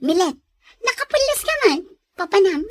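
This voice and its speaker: Woman voicing shrill, nosy Ilocano gossip neighbour. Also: very animated, normal speed, no room sound, clean studio sound. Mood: idle, prying. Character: nosy, lazy gossiping village neighbour woman, easily offended. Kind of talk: Filipino audio drama dialogue.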